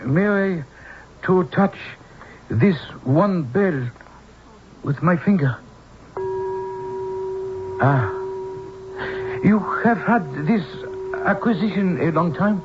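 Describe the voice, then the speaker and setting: Radio drama role: grave, mannered Japanese businessman, high-pitched, accented, on a thin old broadcast recording. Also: expressive, dull audio, noisy background, heavily acted. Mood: grave, polite. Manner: formal, halting, very polite.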